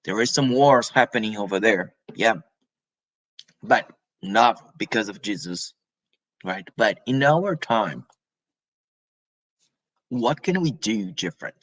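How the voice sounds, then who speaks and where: Man giving a halting spiritual talk. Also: lowish voice, expressive, a bit bright, rough recording. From Brazil. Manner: halting, many restarts and tag questions. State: coughing now and then.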